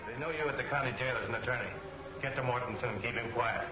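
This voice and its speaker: An actor playing a curt, commanding American crime boss giving clipped orders, in 1940s film serial villain style. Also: male voice, mid-range voice, quick pace, close to an everyday voice. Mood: commanding, menacing. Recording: echoey old soundtrack, loud music.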